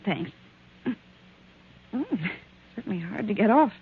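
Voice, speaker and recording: An actress playing a brisk, polite private secretary in 1940s radio mystery style. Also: female voice, mid-range voice, animated, gravelly, slightly rough, heavily acted. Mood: brisk, concerned. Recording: muffled tinny 1940s radio broadcast.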